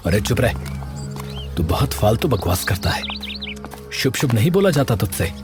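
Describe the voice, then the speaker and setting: A man playing a sensible, moralising elder brother in an animated village story, admonishing as he talks. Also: fairly low pitch, normal speed. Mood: scolding. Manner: scolding, admonishing. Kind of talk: Hindi animated story dialogue. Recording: loud background music.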